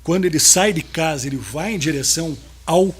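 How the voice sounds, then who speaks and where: A man questioning a witness at a jury trial in a formal, methodical way, with short quiet acknowledgments between questions. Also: fairly high pitch, fairly quick, slight background noise. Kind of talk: courtroom questioning.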